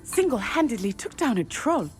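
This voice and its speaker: An actress playing an earnest, friendly teenage student in a video game, alert and eager. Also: female voice, a little high, big pitch swings, moderate pace, soft background music. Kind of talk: video game cutscene acting.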